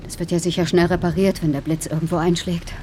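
An actress in a radio drama playing an anxious, caring older sister with a guilty streak, speaking naturalistically and intimately. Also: female voice, on the low side, level tone, fast, warm tone, slight background noise, natural voice. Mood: nervous. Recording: music behind the voice. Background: music.

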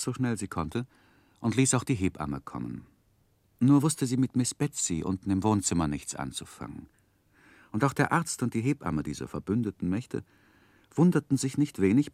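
An actor playing a grown man narrating his own life story in a radio drama, with measured, wry delivery. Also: low voice, darkish tone, no room sound. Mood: wry, descriptive. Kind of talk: scripted literary narration in 1960s radio drama.

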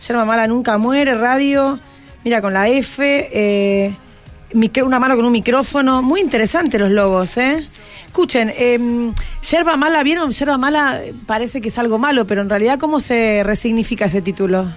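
A woman's voice: on the high side, normal speed, soft background music. A woman with an Argentine accent, interviewing casually as a radio host in an encouraging, inquisitive way. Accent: Argentine, Rioplatense.

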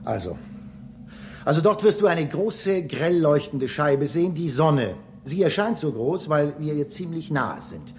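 A man's voice: on the high side, lively intonation, unhurried. A man playing a human space-station engineer in a radio drama, explaining things emphatically and with some impatience. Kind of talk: German radio drama acting.

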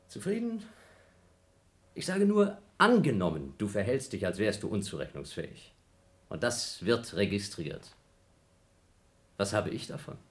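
A man's voice: a little high, sharp tone, heavily acted. An actor playing an agitated male astronaut, sarcastic, defensive and accusatory, in a German radio play. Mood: mocking, exasperated.

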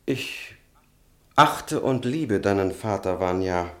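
Low-voiced courteous young student. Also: male voice, expressive. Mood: grave, hesitant. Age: young adult. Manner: courteous, measured, deliberate. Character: polite, calculating young student guarding the family. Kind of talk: German radio drama acting.

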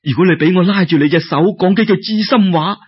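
A man's voice: high voice, fairly quick, breathy edge, pitched above the performer's own voice. A man voicing an ardent, fearless young scholar in love, impassioned and beseeching, with a Cantonese accent, in a told story. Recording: dull lo-fi radio-like recording.

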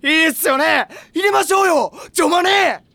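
A man's voice: very high voice, expressive, moderate pace, creaky, sharp tone, no room sound, raised voice, heavily acted. A man playing a hot-blooded, melodramatic teenage volleyball boy in an anime audio drama, prone to bombastic outbursts. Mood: eager, persuasive.

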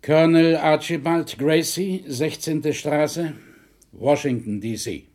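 A man playing a colonel and historian, a shaken shipwreck survivor giving measured, formal testimony in a documentary radio drama. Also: on the high side, a little mellow. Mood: sober, measured.

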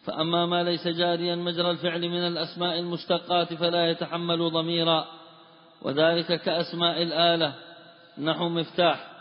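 A man reading a grammar commentary aloud to his teacher in a steady chanting cadence. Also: fairly high pitch, brisk. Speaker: student reading the text aloud. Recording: dull lo-fi recording with long hall reverb.

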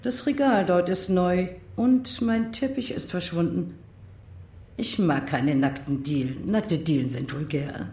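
Low-voiced haughty old woman. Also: a little slow, dark tone, breathy edge. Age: older. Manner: haughty, rambling, disdainful. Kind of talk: radio drama acting.

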